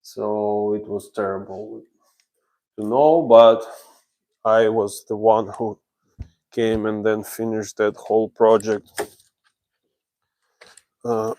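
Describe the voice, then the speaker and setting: A man from Ukraine, a renovation contractor, explaining his work step by step on a job-site livestream, in slow, halting speech. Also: fairly low pitch, fairly even intonation, dark tone.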